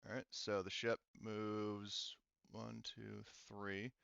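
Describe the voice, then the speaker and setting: A man's voice: fairly low pitch, little pitch movement, slow, gravelly, fairly bright tone, clear, resonant tone, no room sound, highs cut off. A man acting as game master, casually narrating a tabletop game and muttering to himself as he checks rules and counts.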